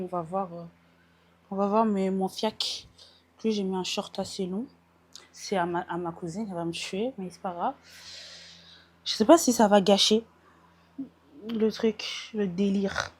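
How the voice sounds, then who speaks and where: A woman's voice: medium pitch, normal speed, pauses often, husky. A young woman from France vlogging casually into a small mic, chatting about her outfit and slipping English words into her French. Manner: chatty, mixes in English words, laughs at herself. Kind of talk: casual vlog chat. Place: at home getting ready, then out in the street and metro.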